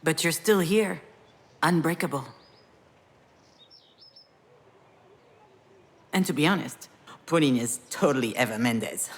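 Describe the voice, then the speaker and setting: A low, husky female voice for a video game heroine. She is a grieving writer, vulnerable yet wry, who confides in a reflective, natural way. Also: normal speed. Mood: gentle, wry.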